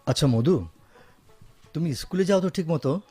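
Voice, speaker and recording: An actor in a Bengali radio drama playing an affectionate young father and devoted son, gentle and earnest, with a Bangladeshi accent. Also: a little high, expressive, normal speed, husky, soft background music. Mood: fatherly, encouraging.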